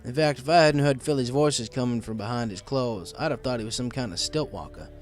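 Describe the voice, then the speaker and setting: A man's voice: medium pitch, brisk, faint music behind. A man reading a story aloud as first-person narrator.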